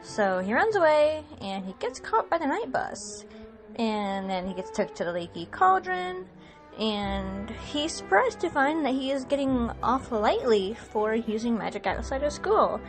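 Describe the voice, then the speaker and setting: A woman hosting, giving an introduction and recap for an audio production. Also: on the high side. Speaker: host and co-director introducing the production. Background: music.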